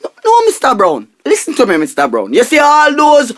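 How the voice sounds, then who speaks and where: High-pitched, pompous Jamaican husband, acted by a man. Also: wildly swooping pitch, a little fast, heavily acted. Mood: outraged. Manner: blustering, repetitive, pompous, exclaiming. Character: pompous, penny-pinching husband and money minister, excitable, indignant. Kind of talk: comic radio drama acting.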